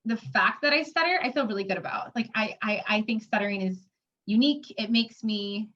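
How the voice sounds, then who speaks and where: A woman in her twenties talks openly about her own life, stuttering often with repeated sounds and blocks. She fills pauses with filler words, and the recording sounds dull and slightly lo-fi. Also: a little high, a bit bright. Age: 20s. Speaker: interviewee. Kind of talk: personal testimony.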